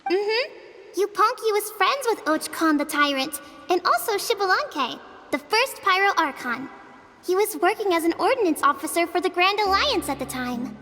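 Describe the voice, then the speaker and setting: A woman's voice: very high voice, very animated, normal speed, pushed and bright, big-room sound, full character voice. A woman voicing a young girl of a tribe in a game, telling an old legend in an earnest, matter-of-fact way. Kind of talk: game dialogue voice acting.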